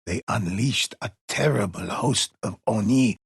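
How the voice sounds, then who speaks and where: Husky, low-voiced solemn storyteller. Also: male voice, no room sound, heavily acted. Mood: solemn, mysterious. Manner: solemn, measured, portentous delivery. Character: mysterious older male storyteller, solemn, reverent teller of samurai legends. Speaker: storyteller narrator. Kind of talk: dramatic scripted storytelling narration for a video game.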